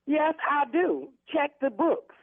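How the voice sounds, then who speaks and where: A woman's voice: sits high, expressive, slow pace, no room sound. A woman speaking firmly and emphatically in an indignant phone conversation, heard over a narrow telephone line. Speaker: prank call target, church member.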